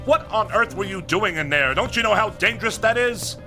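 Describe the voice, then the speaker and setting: Pompous, animated, high-voiced scholar. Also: male voice, a little fast, over music. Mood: exasperated. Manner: pompous, mannered, fussily formal old-fashioned diction. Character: pompous, exasperated scholar enamored of the nineteenth century. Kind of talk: comic radio drama acting.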